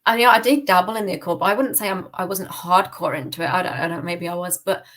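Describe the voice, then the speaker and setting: A woman's voice: lowish voice, fast, fairly bright tone. A British woman giving a personal testimony in a casual interview, candid and hesitant, often correcting herself. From United Kingdom. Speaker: podcast guest.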